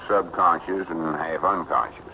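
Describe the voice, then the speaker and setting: Radio comedy: self-important older Southern rustic, low voice, homespun drawl, muffled vintage sound. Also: male voice, steady tone, measured pace, slight background noise. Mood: knowing. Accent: rural Southern US. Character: folksy, self-important, opinionated older country storekeeper. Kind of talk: old-time radio comedy acting.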